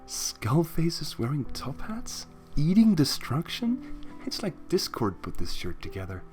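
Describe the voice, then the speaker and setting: A man voicing a shy, infatuated young female cartoon pony, soft, hesitant and coy, in a story reading. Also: fairly high pitch, huge pitch swings, unhurried, soft background music, pitched above the performer's own voice. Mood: playful.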